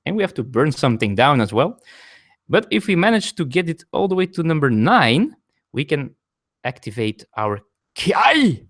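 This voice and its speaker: A man with a non-native English accent talks through a board game from his table at home. His pitch rises and falls a lot as he explains each rule. He chats with viewers between turns and often stops to correct himself. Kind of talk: live-streamed board game play explaining rules.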